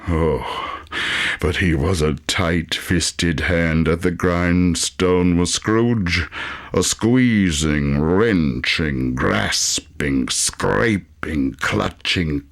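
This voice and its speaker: A man narrating an audiobook as a theatrical storyteller, dramatically savoring his words with pauses. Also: sits low, vocal fry.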